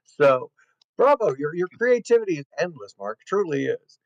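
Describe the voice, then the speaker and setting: Talkative male co-host, slightly high voice. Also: normal speed, no room sound, muffled recording. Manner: talkative, animated storyteller. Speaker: co-host, improv comedian. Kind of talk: casual interview chat.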